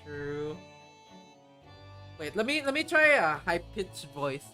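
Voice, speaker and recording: A woman streamer talks casually over her game in a low-pitched voice that moves lively up and down. She rambles and hesitates, circling back as she searches for words. Faint music plays behind her. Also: takes it slowly. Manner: rambling, hesitant, many fillers and restarts. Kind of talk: casual chat while playing a game on stream.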